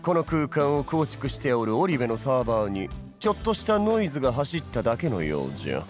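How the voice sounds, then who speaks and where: A man's voice: mid-range voice, very animated, a little fast, soft background music, close to an everyday voice. An actor playing a proud, flamboyant tea-master lord in a voice drama, boasting theatrically in archaic old-man phrasing. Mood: assured. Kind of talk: Japanese voice drama acting.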